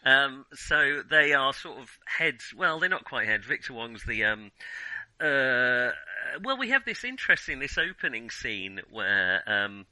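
A middle-aged man in a casual unscripted film discussion as a podcast co-host, often halting and correcting himself mid-thought. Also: medium pitch, sing-song, pushed and bright, no echo, rough recording. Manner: halting, self-correcting, trailing restarts.

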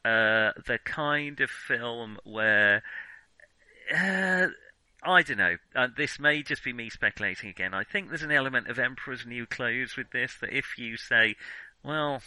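A man with a very bright, sharp voice, recorded close and dry. He talks casually as a film podcast co-host, hedging his opinions and often stopping to rephrase them. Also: medium pitch, animated, unhurried, dry recording, rough recording. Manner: hesitant, hedging, self-correcting.

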